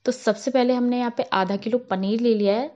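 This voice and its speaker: A woman with a fairly high voice narrates a home cooking tutorial, explaining each step in a calm, instructional way. The voice-over sounds a little dull and lo-fi. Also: a little fast. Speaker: cooking channel host. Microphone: voice-over, not on camera.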